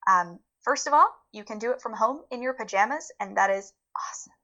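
Casual female interview guest, very bright voice, informal and emphatic delivery. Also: fairly high pitch, lively intonation, brisk. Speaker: interview guest, voice actor and audio play producer.

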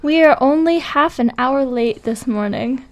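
A woman co-hosting a morning radio show from a studio, chatting brightly and joking with her partner. Her voice sits a little high, with a light, easy manner. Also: unhurried. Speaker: radio co-host. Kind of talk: casual morning radio chat.

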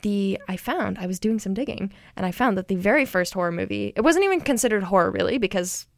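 A young woman co-hosting a podcast, walking through her research in a rambling, hesitant way with many ums and quick laughs. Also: medium pitch, big pitch swings, no room sound, clean studio sound. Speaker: podcast co-host presenting research. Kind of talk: casual chat.

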